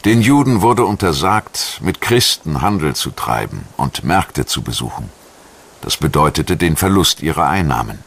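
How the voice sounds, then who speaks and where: A man reading scripted historical documentary narration in a measured, solemn and formal manner. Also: a bass voice, big pitch swings, fairly bright tone, husky. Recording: music behind the voice. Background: background music.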